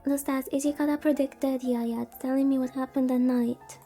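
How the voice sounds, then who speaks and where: A woman's voice: high voice, flat delivery, a little fast, mellow, soft background music. A woman VTuber livestreaming a visual novel, chatting casually, rambling and reacting aloud as she reads along. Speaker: VTuber streamer.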